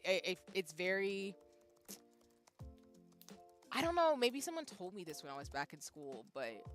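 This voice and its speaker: A woman's voice: mid-range voice, fairly bright tone. A young woman streamer chatting casually with her viewers, thinking aloud with frequent restarts. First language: English. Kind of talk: casual chat reading viewer comments.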